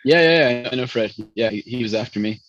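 A man's voice: medium pitch, quick pace, a bit bright, no room sound, muffled recording. A man who spoke Spanish first, a pastry chef telling long rambling stories full of restarts in an interview. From born in Chicago, raised in Venezuela. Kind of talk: casual interview storytelling.

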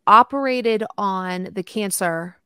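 A woman interviewer with a mid-pitched voice who listens closely and asks clear follow-up questions. The sound is dry and close, with no room echo. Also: slow, fairly bright tone. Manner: attentive, brief affirmations and clarifying questions. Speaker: host. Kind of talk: interview conversation.

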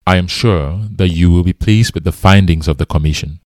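A man's voice: low-pitched, brisk, darkish tone, no room sound, studio quality, lightly acted. A man voicing a noble lion king in a storybook fable, speaking in a lordly, authoritative manner. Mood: regal.

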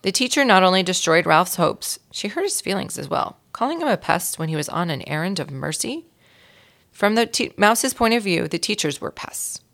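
A woman reading a children's story aloud in an expressive storytelling style, with a creaky voice. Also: lowish voice, moderate pace. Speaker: volunteer reader of a children's book.

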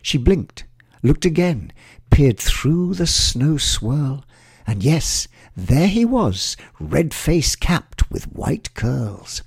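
Male storyteller, husky voice, lively rise and fall, measured verse delivery, Christmas tale. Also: on the high side, no echo.

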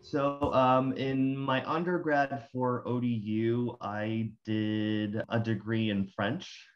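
A man's voice: mid-range voice, little pitch movement, slow pace, dull audio. A young man from Rico, answering interview questions as a guest, hesitant and full of fillers. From Puerto Rico. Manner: hesitant, many fillers and restarts. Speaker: guest, graduate student.

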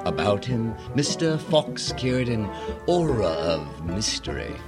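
Theatrical, swooping dashing suitor. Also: male voice, on the low side, big pitch swings, a little slow. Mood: grand, admiring. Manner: grandiose, flowery. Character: storyteller and dashing, flowery, smooth-talking suitor. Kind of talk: theatrical radio drama acting. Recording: music behind the voice.

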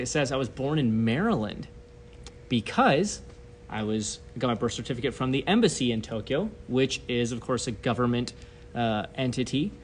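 A man's voice: mid-range voice, slight background noise. A man in his thirties who grew up in Japan, a podcast guest and missionary, talking casually and exactly. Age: 30s. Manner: precise, fond of technicalities. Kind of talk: casual chat.

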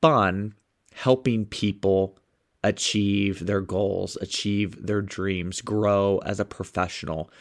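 A man's voice: fairly low pitch, fairly even intonation, takes it slowly. A man with a stuffed-up nose hosting a motivational podcast, talking with upbeat enthusiasm as a sales coach. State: stuffed up, sniffling.

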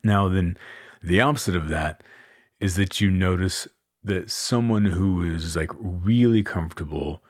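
A man with a very low, resonant chest voice talks through his thoughts on a solo podcast, unhurried and musing, with plenty of fillers. The recording is close and completely dry, with no room sound at all. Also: no room sound. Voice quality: deep chest voice. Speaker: podcast host and coach. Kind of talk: reflective solo podcast talk.

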